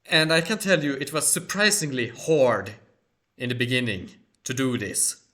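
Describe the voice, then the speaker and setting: A man whose first language is Swedish, hosting a solo question-and-answer video in measured, formal phrasing. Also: medium pitch, very animated, sharp tone. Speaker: channel host. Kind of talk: solo Q&A monologue.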